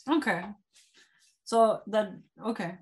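Fields: middle-aged woman host, halting questions. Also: medium pitch. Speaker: podcast host. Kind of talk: interview questions.

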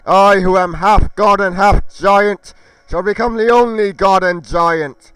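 A man's voice: sits high, fairly bright tone, clean tone, no echo, voice pushed up, rough recording. A man voicing an arrogant, wrathful half-giant fire demigod in a game roleplay, declaiming in pompous archaic speech. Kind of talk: theatrical villain monologue in amateur game roleplay.

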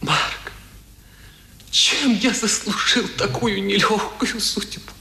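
An actor playing a sick, despairing young gentleman in a radio drama, weak and groaning with fever. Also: high-pitched, steady tone, takes it slowly, gravelly, echoey room, slight background noise, heavily acted. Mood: despairing, pleading. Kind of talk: 1970s Russian radio drama acting.

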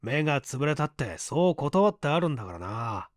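A man's voice: mid-range voice, very animated, normal speed, dry recording, lightly acted. A cool, commanding tough man , voiced by a male reader, talks in a leisurely manner. His delivery is composed and lecturing, as in a crime audiobook, with a close, dry sound. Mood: cool, mocking. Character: calm, unhurried tough man coolly lecturing his beaten foe.

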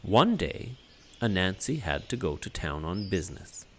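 A man narrating a children's story in an expressive, theatrical way. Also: sits low, moderate pace, slight background noise. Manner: expressive, dramatic delivery. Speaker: storyteller, puppet show narrator.

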